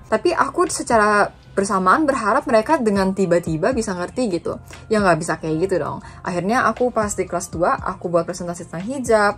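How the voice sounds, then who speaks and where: A young woman from Indonesia talking casually in a reflective vlog, chatty and dropping in English phrases. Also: medium pitch. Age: young adult. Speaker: student vlogger.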